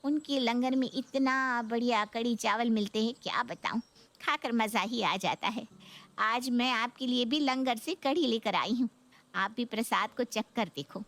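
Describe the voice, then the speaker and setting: A woman's voice: fairly high pitch, unhurried, fairly bright tone. A woman voicing a chatty, enthusiastic visiting aunt who talks emphatically to her elder sister in a cartoon story. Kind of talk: Hindi cartoon story voice acting.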